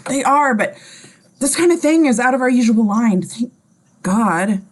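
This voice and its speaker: A woman playing a blunt, weary male police chief in a table read, with halting delivery. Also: on the high side, animated. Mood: businesslike, weary. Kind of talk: screenplay table read acting.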